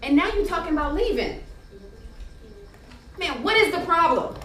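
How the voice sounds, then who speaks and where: A woman's voice: extremely high pitch, expressive, normal speed. A woman playing a brash, loyal street-wise friend in a stage play, slangy and outspoken. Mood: hurt, confrontational. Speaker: stage actor. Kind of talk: live 1990s-set stage play dialogue. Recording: distant, echoey, noisy stage recording.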